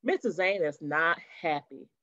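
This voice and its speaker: A woman's voice: low-pitched, unhurried, no echo. A woman reading a storybook aloud to children like a teacher, expressively, with chatty asides, on dull webcam audio. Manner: animated, chatty asides to young listeners.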